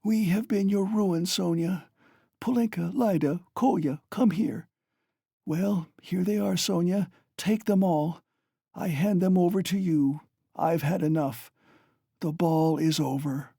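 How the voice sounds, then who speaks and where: Dying delirious mother, hoarse, shrill, dry close sound; reader doing her. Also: a little slow, warm tone, no room sound, exaggerated voice. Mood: bitter. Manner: shrieking, frantic, wailing. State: hoarse, gasping.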